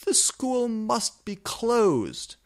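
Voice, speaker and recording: A man voicing an indignant government official, yelling furious accusations in a storybook reading. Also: very high-pitched, big pitch swings, extremely slow, voice pushed up, full character voice.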